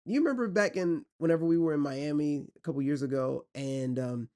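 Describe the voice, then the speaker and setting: A man's voice: fairly high pitch, brisk, darkish tone. A man hosting a podcast, asking long rambling questions in a casual, friendly way. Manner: rambling, friendly, many fillers. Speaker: podcast host. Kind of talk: casual interview chat.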